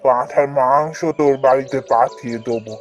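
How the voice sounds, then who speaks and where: Man playing an earnest village gentleman, music behind. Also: mid-range voice, fairly even intonation, normal speed, lightly acted. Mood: generous, earnest. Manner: earnest, familiar, speaking as an elder to a junior. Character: grateful village gentleman, earnest father, kindly patron. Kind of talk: cartoon fairy tale voice acting.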